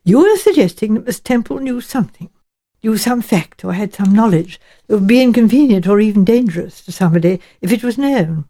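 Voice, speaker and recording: A woman voicing a shrewd, polite elderly English lady detective, precise and gently probing. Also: medium pitch, lively intonation, brisk, natural voice. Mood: careful, deducing.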